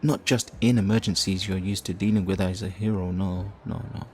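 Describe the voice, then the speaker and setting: A man playing a flirtatious, theatrical supervillain leader in an audio roleplay, smug and righteous, with teasing, drawn-out phrasing. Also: low voice, steady tone, a little mellow, slight background noise, close to an everyday voice. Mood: earnest, coaxing. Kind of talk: audio roleplay addressed to the listener.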